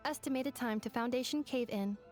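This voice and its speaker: A woman voicing a polite, logical young female robot in an anime game, speaking in a formal, measured, matter-of-fact way. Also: a little high, over music, close to an everyday voice. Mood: composed.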